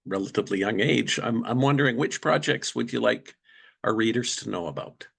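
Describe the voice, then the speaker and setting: A man interviewing a guest, asking long, polite questions at a measured pace. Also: mid-range voice, animated, vocal fry, husky.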